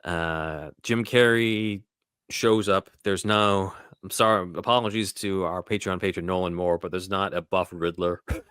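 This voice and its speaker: A man with a very low voice hosts a casual film podcast. He explains things scene by scene in a halting, conversational manner. The sound is dry and close. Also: fairly even intonation, a bit bright, clear, resonant tone, dry recording. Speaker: podcast host.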